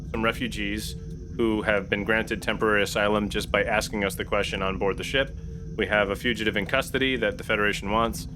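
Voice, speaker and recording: A man speaking casually and deliberately as a player in a tabletop role-playing game. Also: fairly low pitch. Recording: clear background noise.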